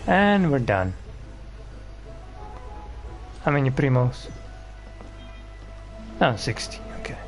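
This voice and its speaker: A man's voice: mid-range voice, big pitch swings, measured pace, soft background music. A man giving casual game commentary as a streamer, blunt, profane and grumbling. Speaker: gaming streamer.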